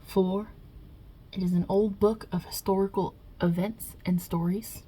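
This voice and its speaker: Husky woman playing a wisecracking mob-boss God. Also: mid-range voice, steady tone, a little slow, poor audio. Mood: reading out questions. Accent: put-on Italian-American New York. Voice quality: husky, breathy. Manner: casual, wry, chatty, with softly murmured asides. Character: non-binary God as a wisecracking, touchy Italian mob boss. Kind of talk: ASMR roleplay.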